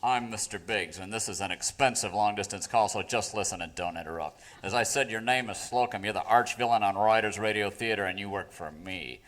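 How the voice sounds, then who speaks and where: An actor playing a commanding, evil crime boss giving orders in a parody of old radio serials. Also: male voice, on the low side, fairly quick, few pauses, a bit bright, natural voice. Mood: sinister, commanding. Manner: authoritative, theatrically sinister.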